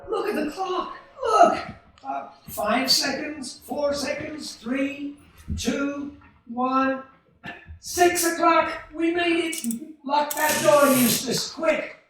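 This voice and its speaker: Radio drama lead: excitable, self-doubting young family man, played by a man, high-pitched, folksy and emphatic, distant with heavy room echo. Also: takes it slowly, slight background noise, exaggerated voice. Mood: excited. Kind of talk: 1940s radio play acting. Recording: distant, very echoey stage hall.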